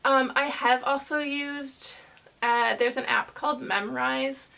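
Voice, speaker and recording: A woman vlogger chatting casually to camera, rambling hesitantly with lots of fillers and pauses. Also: high-pitched, steady tone, gravelly, sharp tone.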